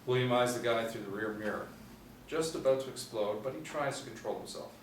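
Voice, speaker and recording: A man's voice: medium pitch, a little fast. A man in his forties reading scripted stage directions as a narrator. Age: 40s. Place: small room indoors. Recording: roomy, distant mic, faint noise.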